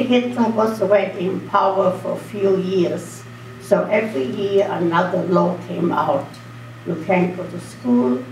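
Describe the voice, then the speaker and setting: An older woman from Austria telling her story earnestly to a school audience as guest speaker. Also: mid-range voice. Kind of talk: speaking to students. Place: school auditorium. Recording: echoey hall, distant mic, faint hum.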